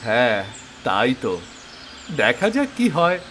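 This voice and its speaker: A man playing a struggling, protective older brother and old friend in expressive, resigned cartoon dialogue. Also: mid-range voice, slow pace. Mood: resigned, downcast. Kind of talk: acted cartoon drama dialogue. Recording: noisy outdoor ambience with birds, insects.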